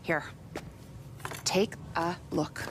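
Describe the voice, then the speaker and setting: A female actor with an American accent playing a sharp-tongued, impatient virologist in a video game, sarcastic and brisk. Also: lowish voice, steady tone, background noise. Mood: guardedly hopeful. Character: sharp-tongued, sardonic woman virologist, impatient, awkward with people. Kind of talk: video game cutscene acting.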